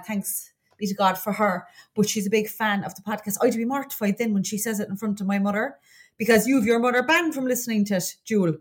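An Irish woman with a Cork accent co-hosting a podcast in a casual, gossipy, confiding way. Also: fairly high pitch. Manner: chatty, colloquial, confiding. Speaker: podcast co-host.

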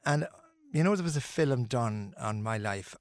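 A man's voice: mid-range voice, expressive, moderate pace, no echo, studio quality. A middle-aged Irish man, a musician being interviewed, talking earnestly and emphatically with many restarts and fillers. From Ireland.

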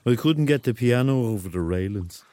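An actor playing the laid-back ghost of a rock star, laconic and dryly teasing, in a radio drama. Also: male voice, mid-range voice, lively intonation, normal speed, mellow, no echo, natural voice.

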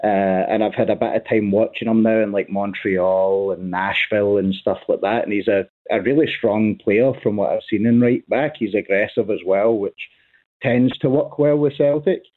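A man's voice: fairly low pitch, fairly even intonation, normal speed. A man phoning in to a football radio show, speaking earnestly. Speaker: caller. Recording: tinny phone line.

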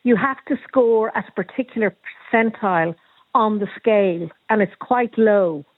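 A woman's voice: medium pitch, moderate pace, no echo. A woman, a school principal, speaking firmly and informatively as a radio guest, with a few ums. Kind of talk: radio panel discussion by phone. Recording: tinny dry phone line.

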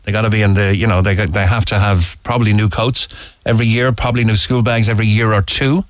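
A man's voice: lowish voice, fairly even intonation, fast talker, no room sound. A man with an Irish accent hosting a radio phone-in show, asking probing, leading questions and reading out listener texts. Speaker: radio talk show host. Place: radio studio.

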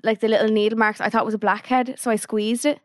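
A young woman co-hosting a casual podcast, teasing and quick to laugh. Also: fairly high pitch, little pitch movement, no room sound, studio quality. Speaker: podcast co-host. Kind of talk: casual chat.

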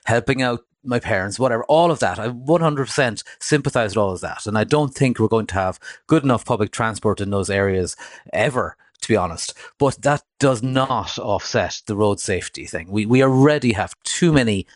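A man from rural Cork with an Irish accent, making measured, reasoned points as an expert guest in a live radio discussion. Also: lowish voice, lively intonation, no room sound, studio quality. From rural County Cork, Ireland. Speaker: motoring editor, interview guest.

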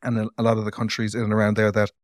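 A playful Irish man hosting a casual interview, his voice sitting low. The sound is dry and close, with no room around it. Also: monotone, rapid-fire, no echo. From Ireland. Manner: chatty and playful, teasing asides. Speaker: podcast host.

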